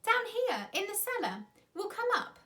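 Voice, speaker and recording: A woman's voice: very high-pitched, sing-song, a little slow, bright, forceful tone, voice pushed up, full character voice. A woman voicing an eager, anxious young schoolboy from a children's storybook, speaking urgently. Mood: excited calling.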